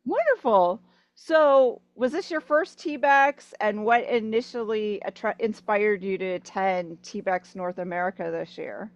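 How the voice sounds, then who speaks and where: A woman's voice: mid-range voice, measured pace, no room sound, highs cut off. A woman hosting a casual interview over a video call, chatty and full of "I mean" and "you know". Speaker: podcast host.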